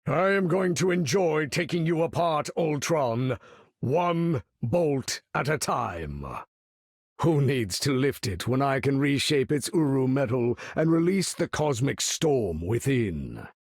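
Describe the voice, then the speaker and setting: Husky, slow, grandly menacing mutant leader. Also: male voice, medium pitch, lively intonation, no room sound, studio quality. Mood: menacing, scornful. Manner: grandiose, measured, theatrical delivery. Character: proud, menacing mutant leader, commanding supervillain with grand bearing. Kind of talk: video game character voice lines.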